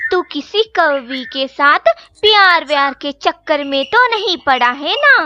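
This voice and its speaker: A woman voicing a bossy, aging mother crow in a children's cartoon, stern, deliberate and threatening. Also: very high-pitched, bright, forceful tone, exaggerated voice. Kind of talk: children's cartoon story acting. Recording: dull lo-fi sound, clear noise.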